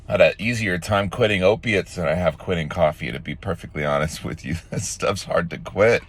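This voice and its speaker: A man with a raspy, sore-throated voice, casually talking to his viewers in a rambling vlog with many fillers and restarts. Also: low-pitched, brisk. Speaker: vlogger. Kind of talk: casual morning vlog talk.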